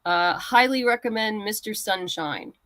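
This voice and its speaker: Female guest creator, conversational and explanatory, slightly halting delivery, middle pitch, dry recording. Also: a bit bright, no echo. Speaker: guest writer and comics creator. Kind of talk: casual interview chat.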